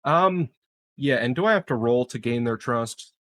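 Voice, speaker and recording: A man's voice: mid-range voice, animated, moderate pace, fairly bright tone, dry recording. A man chatting as a player in a tabletop game, speaking informally with frequent hesitations. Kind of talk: casual game chat.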